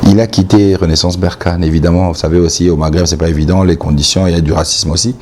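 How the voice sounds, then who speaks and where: A man's voice: deep voice, fairly even intonation, fast talker, a little mellow, slight background noise. A man with a Congolese French accent giving outspoken, emphatic opinions in a sports interview, often repeating points for stress. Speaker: sports commentator being interviewed.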